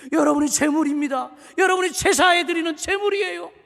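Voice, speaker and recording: A man preaching in a strikingly high, bright, forceful voice. He leaps from fervent shouts to quiet, confiding asides. The sound rings with the long reverb of a church sanctuary. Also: a little fast, gravelly. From Seoul. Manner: dramatic preaching, swinging between shouted exhortation and hushed asides. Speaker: pastor. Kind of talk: sermon.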